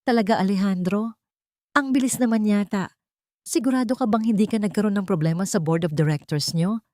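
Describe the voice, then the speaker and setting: A woman's voice: medium pitch, fairly quick, mellow, no room sound, studio quality, lightly acted. A woman voicing a vengeful, calculating woman in an audiobook, sweet but cold in manner. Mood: sweetly probing. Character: vengeful woman feigning sweetness to her lover, coldly calculating.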